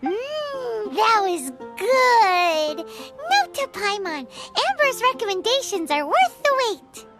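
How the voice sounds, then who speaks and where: A tiny fairy girl sidekick from a video game, with an extremely high little voice that swoops around. The figure is chirpy and naive, and music plays behind the words. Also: sing-song, slow pace, exaggerated voice. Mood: satisfied. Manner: speaks of self in third person. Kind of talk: video game character acting.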